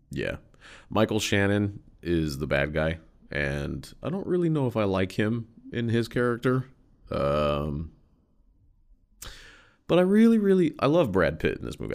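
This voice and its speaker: A man's voice: low voice, vocal fry. A man hosting a casual solo podcast from a hotel room on a USB condenser mic. He has a head cold that makes him stuffy and a bit nasal, and he talks in a loose, self-deprecating way and swears often. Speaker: podcast host.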